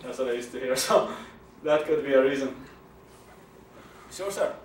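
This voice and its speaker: A young man from Iraq, Arabic native, with an Iraqi Arabic accent, answering audience questions at a talk in a halting way, repeating words and laughing often. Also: mid-range voice, slight background noise. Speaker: guest speaker. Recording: echoey room from far off, faint ambience.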